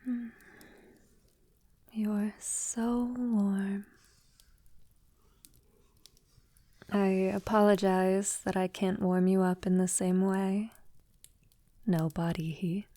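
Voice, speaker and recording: Slow, tender vampire lover, played by a woman. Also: mid-range voice, steady tone. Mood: tender, welcoming. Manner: soft, tender, unhurried, often breathy near-whisper; formal, elegant wording. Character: affectionate centuries-old female vampire lover, refined and vulnerable. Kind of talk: intimate ASMR girlfriend audio roleplay.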